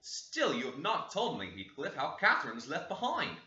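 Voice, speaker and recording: A man voicing a woman housekeeper who speaks in an urgent, hushing way, in a dull echoey recording. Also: a little high, huge pitch swings, moderate pace, heavily acted.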